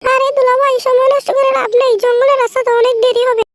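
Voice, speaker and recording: A squeaky young girl of a cartoon, as a woman puts her on, eager and thankful, talking quickly at a very high pitch. A loud noise sits behind her. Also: level tone. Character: young sister-in-law, timid, grateful.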